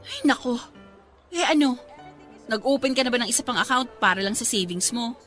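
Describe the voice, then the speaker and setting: A woman's voice: fairly high pitch, expressive, a little fast, a bit bright. A woman acting a practical, scolding young best friend in a Tagalog radio drama, with a Filipino accent. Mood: nagging. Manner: scolding, preachy, exclamatory. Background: music.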